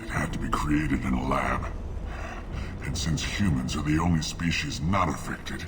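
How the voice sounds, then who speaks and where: An actor playing a bitter, plague-stricken alien in a video game, sick and weak, with grave, formal phrasing. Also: male voice, rumbling low, fairly even intonation, moderate pace, music in the background. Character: dying plague-stricken male alien, bitter, suspicious of humans. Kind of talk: video game dialogue acting.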